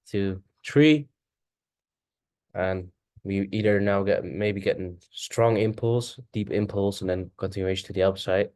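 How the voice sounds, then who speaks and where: A man whose first language is Dutch, casually thinking aloud through a chart walkthrough, halting and correcting himself as he goes. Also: sits low, darkish tone, dry recording. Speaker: forex trader.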